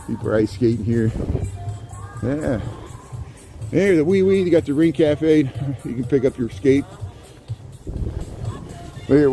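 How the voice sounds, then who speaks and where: A man's voice: medium pitch, dark tone, poor audio. A man from southern Indiana livestreaming as he walks, chatting in a rambling way and answering viewer comments. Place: outdoors on cold city streets. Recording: faint street noise outdoors. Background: city traffic and street ambience.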